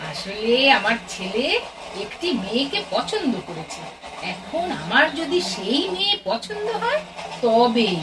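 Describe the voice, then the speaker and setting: High-pitched fussy middle-aged mother acted by a woman. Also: very animated, a little slow. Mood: plaintive, worried. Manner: fretful, exclamatory, homely. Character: fussy, chatty middle-aged Bengali mother addicted to betel leaf. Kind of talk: audio play acting. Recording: distant mic, noisy background.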